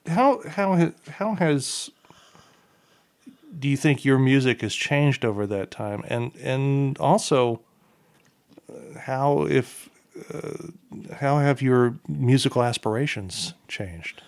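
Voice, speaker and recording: A man's voice: mid-range voice, slow pace. A man interviewing a musician, asking questions hesitantly with fillers and restarts.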